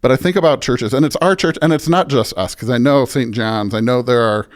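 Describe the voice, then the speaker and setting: A man's voice: medium pitch, a little fast. An American man speaking as a pastor in an interview, reflective and earnest, with emphatic, preacher-like repetition. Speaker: pastor, podcast guest.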